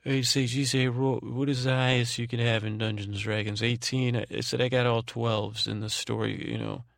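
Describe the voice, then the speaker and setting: A man hosting a sleep podcast in a soothing drone, meandering and halting as he repeats himself and trails off to lull listeners. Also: medium pitch, little pitch movement, gravelly, no echo, studio quality. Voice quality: soothing, soft-spoken drone. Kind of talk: rambling bedtime monologue meant to lull.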